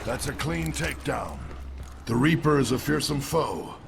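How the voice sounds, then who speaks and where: An actor playing a grizzled, steady veteran warrior who talks gruffly and plainly in a game. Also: male voice, mid-range voice, moderate pace, noisy background.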